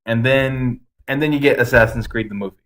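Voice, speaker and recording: A man's voice: medium pitch, fairly quick, a bit bright, rough recording. A man co-hosting a podcast, giving blunt, emphatic opinions in casual chat with some swearing. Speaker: podcast co-host. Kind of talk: casual ranting movie review chat.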